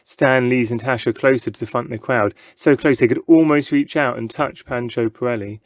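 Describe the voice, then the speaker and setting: A man's voice: medium pitch, brisk, no room sound. A man narrating a children's book aloud from a script, with dramatic storytelling. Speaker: story reader.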